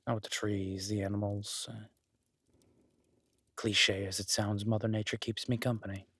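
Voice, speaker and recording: A man playing a solitary, kindly cabin dweller and former medic in an intimate audio roleplay, speaking haltingly with dry teasing and reassurance. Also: on the low side, level tone, a bit bright, no room sound. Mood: wry, relaxed. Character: gruff-kind solitary cabin-dwelling former EMT, awkward, teasing, protective.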